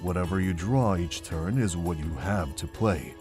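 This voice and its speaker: Man acting a deep-voiced, weary monk. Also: moderate pace, over music, natural voice. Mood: grave, earnest. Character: weary, kindly older monk recounting the past. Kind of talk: fan visual novel voice acting.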